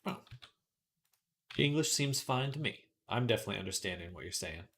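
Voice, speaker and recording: A man's voice: fairly low pitch, expressive, brisk, vocal fry. A man hosting a livestream in casual tutorial style, muttering to himself as he works and chatting with viewers. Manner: mutters to himself, then addresses viewers brightly. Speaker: livestream host, 3D artist. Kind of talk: casual livestream tutorial chat while working. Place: indoors at a computer desk.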